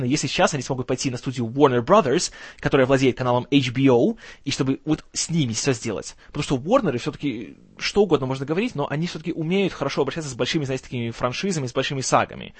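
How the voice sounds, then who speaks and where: Male film news host, tired strained voice, medium pitch, dry close sound, wry and gloomy, halting casual commentary. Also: dry recording. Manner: halting, stumbles over words. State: strained, tired voice, can't speak loud.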